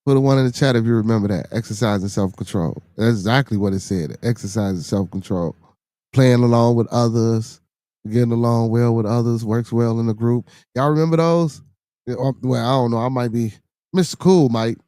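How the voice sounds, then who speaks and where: A man's voice: mid-range voice, fairly even intonation, fairly quick, dark tone, dry recording, clean studio sound. A middle-aged man with a Chicago accent, hosting a podcast livestream, reading the chat aloud. He talks loosely and profanely, laughs often, and repeats himself for effect.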